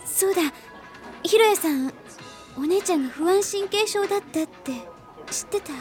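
A woman playing an anxious, earnest young girl in a soft, girlish manner, in a Japanese radio drama. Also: very high voice, normal speed, soft background music. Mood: earnest, troubled.

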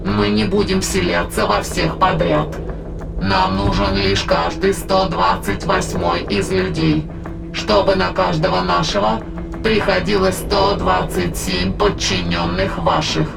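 Gravelly, grandiose otherworldly spirit. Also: medium pitch, flat delivery, rough recording. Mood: grandiose, cold. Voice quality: gravelly, creaky. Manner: grandiose, measured, formal, coldly persuasive. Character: megalomaniac otherworldly spirit plotting to conquer humanity. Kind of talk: audio drama acting, long villainous monologue. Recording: music bed under the voice. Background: dramatic background music.

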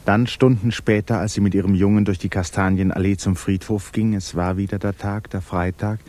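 An actor giving measured, sober literary narration in a German radio drama. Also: male voice, lowish voice, fairly even intonation, a little mellow, natural voice.

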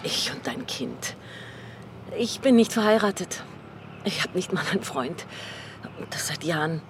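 A woman's voice: fairly low pitch, expressive, a little slow. An actress playing a lonely, self-doubting single woman, hesitant and subdued, in a radio drama. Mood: downhearted. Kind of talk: German radio crime drama acting. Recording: clear background noise, vehicle ambience.

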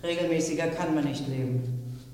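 An actress playing a stern, curt middle-aged widow in a crime radio play, controlled and precise. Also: female voice, low-pitched, normal speed, mellow, a little hiss or hum, lightly acted. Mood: curt, composed. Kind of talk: German radio drama acting. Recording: echoey hall, distant from microphone.

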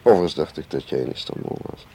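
A suave, cagey spy in a radio drama. The voice is deep and gravelly, swinging lazily up and down as he teases with dry irony. The old recording hisses noticeably. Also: expressive, fast, mellow. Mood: cool, evasive. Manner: ironic, leisurely, ends remarks with questioning tags. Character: suave, cagey male foreign spy, teasing and unhurried. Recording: clearly hissy old radio play recording.

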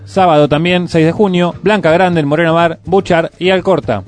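A man's voice: a little high, fairly even intonation, normal speed. A man with a Rioplatense Argentine accent presenting a radio show, reading scripted music news and event listings. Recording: music playing behind the voice. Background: music bed.

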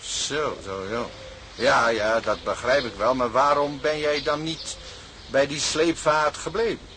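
An actor playing an older, thrifty sea captain in a radio drama, speaking in a measured, paternal way with hums and repeated murmurs. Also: male voice, mid-range voice, fairly even intonation, fairly bright tone, slight background noise. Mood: curious, probing.

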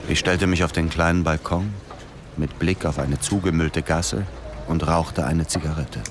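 An actor playing a weary, grieving middle-aged former soldier in a radio drama, speaking in a subdued, understated way. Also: male voice, rumbling low, fairly even intonation, measured pace, background noise. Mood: sober narrating. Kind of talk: radio drama dialogue and first-person narration. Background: music under the voice.

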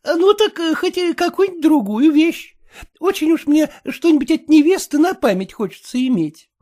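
A man's voice: very high voice, expressive, normal speed, darkish tone, voice pushed up. A man voicing an older woman, a folksy matchmaker aunt who coaxes and wheedles for gifts. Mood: coaxing. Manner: coaxing, folksy.

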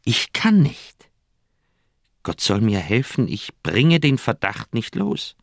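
An actor playing a conscience-stricken old doctor in a German radio crime drama, speaking in an earnest, heavy, troubled manner. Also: male voice, deep voice, vocal fry, no echo, clean studio sound, heavily acted. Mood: anguished. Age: older.

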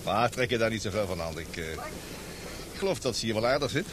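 An actor in a Dutch radio drama playing a jovial, easygoing professor with a chummy, teasing manner. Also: male voice, lively intonation, fast talker, natural voice. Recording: noisy, engine-like rumble behind.

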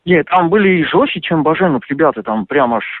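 A man talking over the phone, animated and indignant, full of fillers and restarts. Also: on the high side, a little fast, dry recording. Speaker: interviewee on a phone call. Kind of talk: casual phone conversation. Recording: dry tinny phone line.

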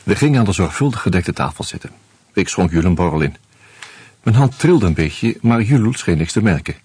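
An actor playing an anxious out-of-work husband who narrates in the first person, wry and hesitant, in a radio play. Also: male voice, low voice, animated, fairly quick, a little mellow, husky, no echo.

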